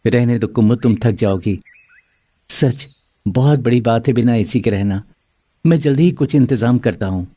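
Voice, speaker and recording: A man acts out a protective young husband in a cartoon moral tale. He sounds earnest, with a soft, dark tone. The recording is dry and close. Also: medium pitch, moderate pace, dry recording, lightly acted. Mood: concerned. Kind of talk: moral-story cartoon dialogue.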